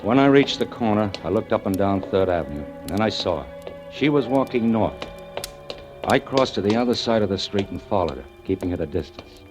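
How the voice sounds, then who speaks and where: An actor playing a tormented fire inspector who narrates in a tense, halting way in a 1940s radio drama. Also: male voice, fairly low pitch, expressive, natural voice. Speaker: narrator and lead. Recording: old 1940s radio broadcast with music.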